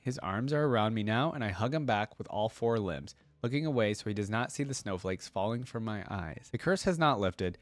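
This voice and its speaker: A man's voice: mid-range voice, dry recording. A young adult man hosting a reaction video, reading a story aloud and adding casual, informal commentary.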